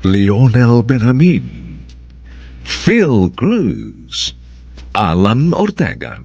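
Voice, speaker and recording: A man's voice: medium pitch, huge pitch swings, very slow, darkish tone, husky, no echo. A man with a Filipino accent announcing a radio drama in a dramatic scripted style, on dull, dry audio.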